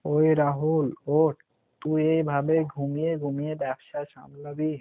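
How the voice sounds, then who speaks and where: A man's voice: fairly high pitch, monotone, a little slow, narrow, tinny audio. A man voicing an ageing, weary, stern but caring shop-owner father in a cartoon, in an admonishing way. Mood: scolding. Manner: admonishing, instructing.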